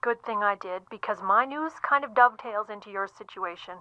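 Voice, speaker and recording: An actress playing a brisk, eager literary agent, chatty and pushy over a telephone in an audio drama. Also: female voice, medium pitch, bright, forceful tone, no echo. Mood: upbeat, selling. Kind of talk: audio drama phone conversation. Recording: dull, band-limited phone call sound.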